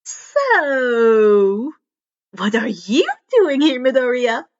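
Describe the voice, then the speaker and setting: A woman voicing an excitable, bubbly teenage inventor girl in an audio story. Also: on the high side, very slow, dull audio, full character voice. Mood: curious.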